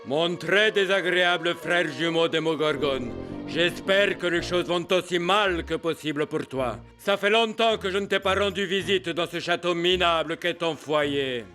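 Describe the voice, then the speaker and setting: A pompous villain sorcerer from a cartoon, acted by a man, haughty and theatrical. He speaks very slowly in a slightly raised male voice, relishing his spite, over music. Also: fairly high pitch, lightly acted. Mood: gloating, spiteful. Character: haughty spiteful male sorcerer dictating poison-pen letters. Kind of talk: French animated film dubbing.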